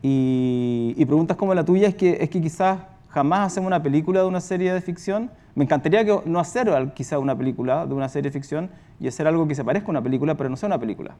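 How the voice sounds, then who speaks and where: A man's voice: fairly high pitch, quick pace, few pauses, dark tone. A man speaking casually as a guest on a live panel.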